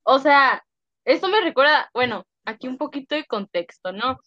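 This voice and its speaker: High-voiced young Mexican woman rambling. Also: animated, normal speed, pushed and bright, dry recording, dull audio. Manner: rambling, slangy, restarts and trails off. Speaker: podcast co-host. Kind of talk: casual chat.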